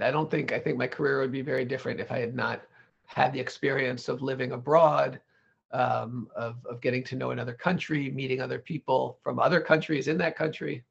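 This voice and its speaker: Man, interview guest, gravelly, husky, thoughtful restarts, muffled lo-fi audio. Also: mid-range voice. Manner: thoughtful, frequent restarts and self-corrections. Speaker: interview guest, legal English lecturer. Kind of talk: relaxed conversational interview.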